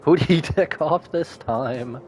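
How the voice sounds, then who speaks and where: A man's voice: fairly high pitch, slow, faint music behind. A man streaming a game with casual reaction commentary, informal, muttering asides and tossing out exclamations.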